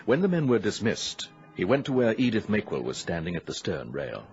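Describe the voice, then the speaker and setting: A man's voice: lowish voice, wildly swooping pitch, fairly quick, a little mellow, soft background music. A man narrating a grim seafaring tale as a scripted, dramatic old-time radio storyteller. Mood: ominous. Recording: muffled vintage radio recording.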